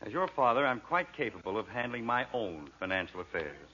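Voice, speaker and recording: A man's voice: lowish voice, sing-song, fairly quick, pushed and bright. An actor with an American accent playing a pompous, exasperated middle-aged father in an old radio sitcom, on a muffled, hissy recording. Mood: stern, lofty. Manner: pompous, formal, easily exasperated. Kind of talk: 1940s radio sitcom acting.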